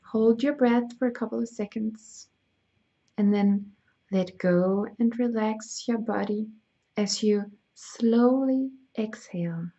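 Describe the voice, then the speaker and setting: Slow, husky-edged woman, gravelly and soft. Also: a little high. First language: Danish. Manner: soft, slow, soothing, drifting into whispers. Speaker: spiritual healer. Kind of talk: slow guided meditation reading. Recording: dull lo-fi sound.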